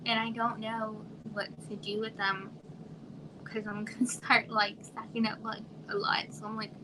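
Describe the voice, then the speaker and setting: A woman from Arizona in her thirties, chatting casually on a home livestream in a quiet, soft voice that trails off and sometimes drops to a whisper. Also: mid-range voice, flat delivery, moderate pace. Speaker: livestream host. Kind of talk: casual live chat with viewers. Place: home room. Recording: noisy home livestream audio.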